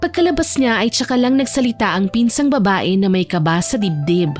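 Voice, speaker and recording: A woman's voice: medium pitch, expressive, fairly quick, darkish tone, faint music behind. A woman narrator delivering dramatic scripted storytelling for an audio drama.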